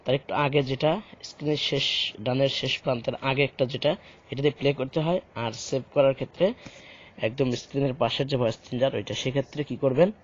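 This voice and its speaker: A man giving a hesitant, step-by-step phone tutorial, explaining and repeating the screen prompts. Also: medium pitch. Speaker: tutorial host for blind users. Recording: dull lo-fi home recording.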